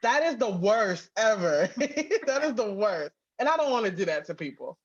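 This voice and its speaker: A woman's voice: medium pitch, very animated, moderate pace, breathy edge, highs cut off. A woman giving casual, candid interview answers as a guest author, chatty and emphatic. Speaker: guest, author and audio drama writer-producer.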